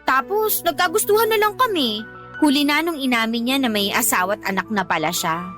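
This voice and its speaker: A woman's voice: sits high, lively intonation, a little fast, a bit bright, faint music behind. A Filipino woman acting a brazen, demanding young mistress in a radio drama, whiny and defiant. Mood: defensive, lovestruck.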